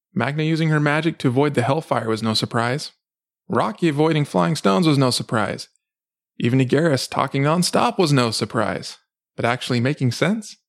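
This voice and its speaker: A man's voice: mid-range voice, vocal fry. A man reading a fantasy story aloud as dramatic scripted narration. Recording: music behind the voice. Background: dramatic background music.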